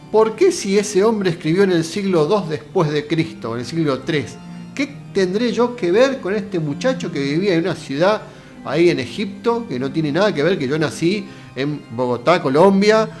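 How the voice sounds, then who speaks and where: An Argentine man with a somewhat raised voice explains philosophy in a chatty, direct way. He keeps asking the viewer rhetorical questions. Soft music plays faintly underneath. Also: a little high. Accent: Argentine Spanish. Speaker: philosophy lecturer. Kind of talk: casual lecture.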